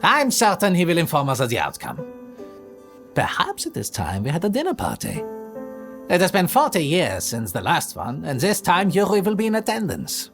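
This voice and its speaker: An actor playing a seductive, aristocratic male vampire prince in an audio drama, with theatrical delivery that drops low and purring. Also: wildly swooping pitch, normal speed, fairly bright tone, soft background music, heavily acted. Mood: composed. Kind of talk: ASMR audio drama acting.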